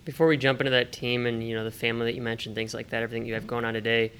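A male interviewer asks his guest about daily routines and background. He talks fast in an even, flat tone, piling up options inside each question. A faint low rumble sits behind the voice. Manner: quick, rambling multi-part questions. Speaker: interview host.

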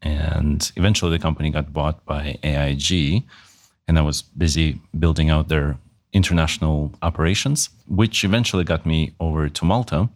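A company CEO, born in Russia, talks about his career on a podcast. His voice is extremely low. He answers in short, plain sentences. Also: fairly even intonation, normal speed. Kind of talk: casual interview answers.

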